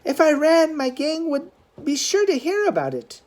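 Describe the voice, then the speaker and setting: A woman's voice: sits high, very animated, moderate pace, darkish tone, pitched above the performer's own voice. A woman voicing a hot-headed, stubborn young street boy who protests as he speaks, in a storybook reading. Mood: defiant, protesting.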